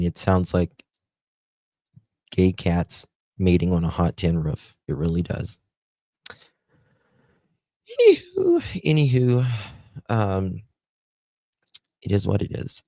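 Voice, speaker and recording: Southern guy on a livestream, slow drawl, deep gravelly voice, warm dark tone, dry close sound. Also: no room sound. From United States. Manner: slow, chatty, drawling, talks to viewers by name. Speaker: livestream host. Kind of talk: casual live chat reading comments.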